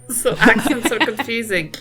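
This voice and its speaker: A woman's voice: fairly high pitch, lively intonation, slow pace, a crowd in the background. A woman playing a tabletop game, describing her character's actions and often stopping to search for words. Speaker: player. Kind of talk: casual chat at a tabletop game.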